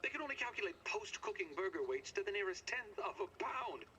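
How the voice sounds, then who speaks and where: An actor playing an impatient, condescending scientist in a game audio log, curt and commanding with dry pomposity. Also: male voice, sits high, big pitch swings, a little fast, sharp tone, slight background noise, heavily acted. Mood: musing, approving. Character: impatient, condescending male scientist, dryly sardonic.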